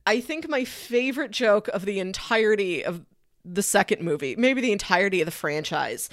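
A woman's voice: mid-range voice, expressive, normal speed, no echo, clean studio sound. A woman co-hosting a film podcast, talking casually in a chatty, analytical way. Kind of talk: casual movie discussion.

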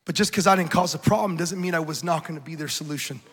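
Bright, high-voiced urgent preacher man. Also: quick pace, breathy edge. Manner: urgent, emphatic, rhetorical repetition. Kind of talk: passionate sermon. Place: large church hall. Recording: reverberant hall.

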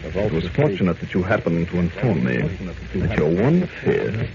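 An actor playing a smug, sadistic villain who taunts with mock politeness in a melodramatic 1940s-style radio serial. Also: male voice, low-pitched, sing-song, normal speed, crowd noise, voice pushed down. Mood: gloating, threatening. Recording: muffled old radio broadcast.

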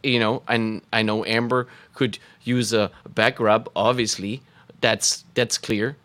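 A man's voice: lowish voice, flat delivery, measured pace, fairly bright tone. Regular-voiced guy on a stream, goofy, cracking puns and hyping them. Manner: goofy, hypes up his own jokes, lots of fillers. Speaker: co-host of a live stream. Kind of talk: casual banter, telling puns.

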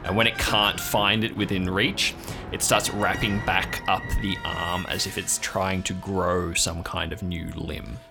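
A man's voice: sits low, flat delivery, a little slow, vocal fry. A man working as game master, improvising vivid, descriptive narration for a tabletop role-play adventure. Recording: music playing behind the voice. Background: music.

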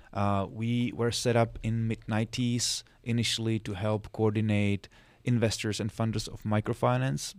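A man from the Republic, a guest on an interview podcast, explaining his work in a measured way with some restarts. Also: lowish voice, a little mellow, dry recording. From Czech Republic. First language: Czech. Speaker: guest, financial sector specialist.

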